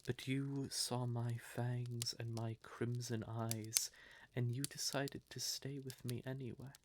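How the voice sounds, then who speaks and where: A man playing a courtly, possessive vampire lover in an audio roleplay, speaking theatrically and deliberately with syrupy endearments and breathy, quietly menacing undertones. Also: mid-range voice, level tone, very noisy. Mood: earnest, pleading. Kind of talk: scripted audio roleplay addressed to the listener.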